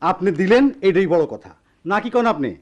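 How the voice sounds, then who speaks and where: An actor playing a smooth-talking village matchmaker type in a radio play, coaxing in a rural Bangladeshi accent. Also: male voice, high-pitched, animated, a little fast. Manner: coaxing, ingratiating. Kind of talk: Bengali radio play acting.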